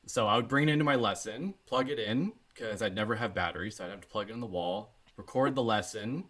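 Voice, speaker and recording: A Canadian man, a bassist, chatting as a podcast guest in a relaxed, rambling way. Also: quick pace. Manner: relaxed, rambling, with many fillers and restarts. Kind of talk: casual interview chat.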